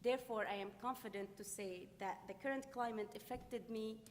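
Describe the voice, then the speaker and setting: Syrian-accented woman reading a statement. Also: on the high side. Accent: Syrian Arabic. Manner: careful, deliberate, slightly halting. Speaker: panelist, refugee volunteer. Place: conference hall. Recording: long reverb with faint noise in a hall.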